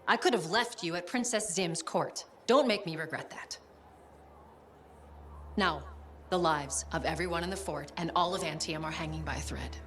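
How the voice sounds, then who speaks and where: An actress playing a stern, commanding leader in a video game, curt, deliberate and authoritative. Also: female voice, fairly low pitch, a bit bright, a little hiss or hum, close to an everyday voice. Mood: cold warning. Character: stern, commanding female leader issuing ultimatums. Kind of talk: dramatic video game cutscene acting.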